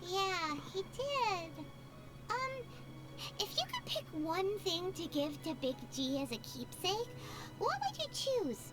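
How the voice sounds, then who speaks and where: A woman voicing a tiny, childlike, excitable fairy companion in a video game, with a squeaky, babyish voice and open, exclamatory reactions. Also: takes it slowly, loud music behind the voice, heavily acted. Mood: awkward, sly.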